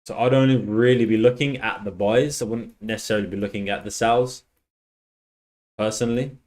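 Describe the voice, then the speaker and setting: A man's voice: on the low side, fairly even intonation, brisk, darkish tone. Chatty man with a tired voice. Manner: chatty, reads out chat messages, many restarts. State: losing his voice. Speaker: livestream trader. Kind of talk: casual live stream commentary.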